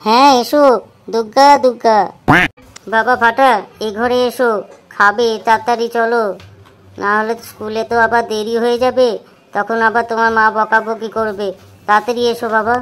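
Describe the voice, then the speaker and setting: A woman's voice: on the high side, level tone, normal speed, pauses often, natural voice. A woman playing a meek husband who keeps house and eagerly obliges. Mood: coaxing.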